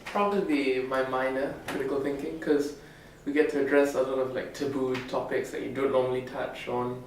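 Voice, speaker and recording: Flat-voiced teenage boy from Singapore. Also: medium pitch. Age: teens. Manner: measured, articulate, with "um" pauses. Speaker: summer school student. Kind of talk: interview answers. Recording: echoey room, distant mic, faint noise.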